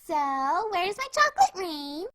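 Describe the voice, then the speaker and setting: A woman voicing an excitable, playful female cartoon pony with a squeaky, perky delivery. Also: a little slow, dry recording, full character voice. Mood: sweetly demanding. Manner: perky, exclamatory. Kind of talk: fan fiction audio drama.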